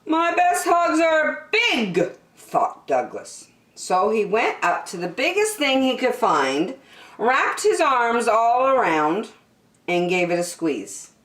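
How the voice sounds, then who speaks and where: A woman reading a children's storybook aloud as storyteller, expressive and emphatic, drawing out key words. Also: mid-range voice, takes it slowly. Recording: echoey room.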